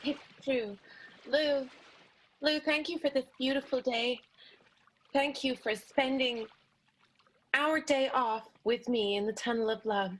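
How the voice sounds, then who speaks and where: Dramatic starlet wife, fairly high voice, hesitant, adoring, timid, radio soap comedy. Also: female voice, slow, pauses often, a bit bright, heavily acted, poor audio. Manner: hesitant, melodramatic. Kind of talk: improvised radio soap opera acting.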